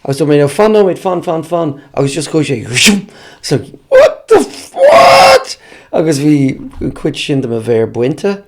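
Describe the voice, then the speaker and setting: An Irish man co-hosting a casual bilingual chat, speaking haltingly as he repeats phrases and explains words. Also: on the high side, huge pitch swings. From Ireland. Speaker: podcast co-host.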